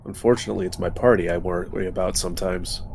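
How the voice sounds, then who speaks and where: A man talking casually as a player at a tabletop game, measured and analytical, with some background noise. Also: sits low, steady tone, gravelly, a little mellow.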